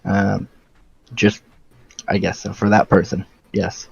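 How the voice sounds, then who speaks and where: A man's voice: low voice, little pitch movement, slow, vocal fry, darkish tone, slightly rough, no echo. A man on a muffled recorded call, answering hesitantly and reluctantly. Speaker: person being questioned on a call.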